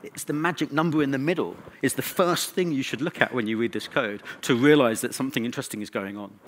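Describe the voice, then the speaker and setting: A man's voice: medium pitch, fast talker. A man speaking as a conference presenter, informal and conversational, with wry asides. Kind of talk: conference talk.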